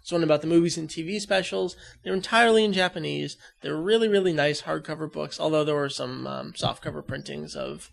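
A man's voice: a little high, brisk, creaky, hardly any pauses. A man hosting a podcast in casual fan chat. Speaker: podcast host.

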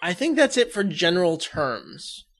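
A man's voice: high voice, big pitch swings, measured pace, a bit bright. A man hosting a casual fan podcast, explaining things conversationally with a joking streak. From United States. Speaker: podcast host.

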